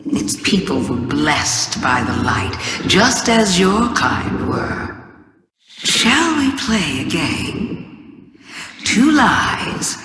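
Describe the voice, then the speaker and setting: The villainess of a fantasy game, taunting intruders with slow, theatrical riddles down the long stone halls of her throne world. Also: fairly low pitch, fairly bright tone, heavily acted. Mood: teasing, ominous. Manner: theatrical, slow, savoring each word. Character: scheming alien witch queen, taunting, theatrical riddler. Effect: cavernous reverb. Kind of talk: dramatic video game monologue. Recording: long reverberant hall echo.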